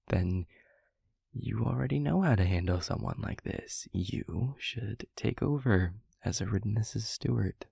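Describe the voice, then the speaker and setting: Man acting as male demon steward, slow halting delivery, breathy and gravelly, soft-spoken, reflective, intimate audio roleplay, close dry sound. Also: dry recording, full character voice. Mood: fond, amused. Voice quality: husky, breathy, gravelly low voice.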